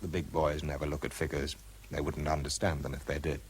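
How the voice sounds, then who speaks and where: An actor playing a blunt, stubborn, dryly sardonic British scientist in a radio drama, who also narrates it. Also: male voice, rumbling low, flat delivery, brisk, slight background noise. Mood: dry narration. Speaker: lead actor and first-person narrator.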